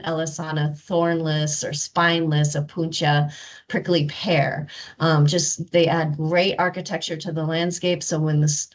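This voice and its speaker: Lower-voiced woman giving a garden talk. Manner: relaxed, informative, frequent "um" fillers. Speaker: rose garden presenter. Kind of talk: webinar presentation.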